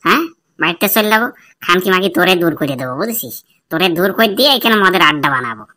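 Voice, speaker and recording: A woman's voice: on the low side, expressive, very fast, pushed and bright. A woman voicing an abusive, foul-mouthed bald drunkard of a husband in a cartoon comedy. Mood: menacing. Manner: threatening, swearing, demanding. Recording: very noisy, clicking background.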